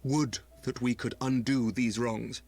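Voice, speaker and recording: Noble, earnest city leader. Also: male voice, mid-range voice, fairly even intonation, vocal fry, darkish tone. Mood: earnest, penitent. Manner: formal, measured, eloquent.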